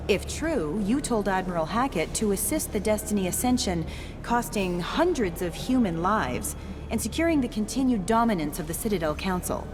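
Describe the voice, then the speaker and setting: A woman acts out a slick TV news reporter from a video game. Her mid-pitched delivery is polished for the camera and insistently pushy. Music plays clearly behind her. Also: expressive, moderate pace, close to an everyday voice. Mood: accusing.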